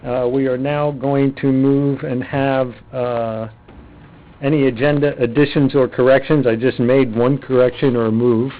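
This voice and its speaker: A man's voice: medium pitch, level tone, measured pace. A man runs a city council meeting, walking through agenda items step by step. He is procedural and a bit hesitant, with fillers. The audio is narrow and thin, like a phone call. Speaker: mayor chairing meeting. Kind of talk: chairing a public meeting.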